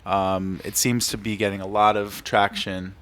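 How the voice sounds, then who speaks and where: A man's voice: fairly low pitch, level tone, normal speed, a bit bright. A man hosting a casual music interview, asking questions in an informal, supportive way. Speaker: interviewer, music show host.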